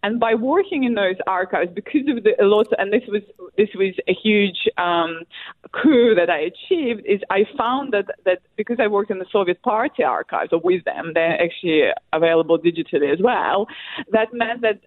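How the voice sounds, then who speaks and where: A woman from Russia with a Russian accent, a historian answering interview questions in a halting way, full of fillers and restarts. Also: medium pitch, dry recording. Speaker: guest, academic historian. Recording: tinny phone line.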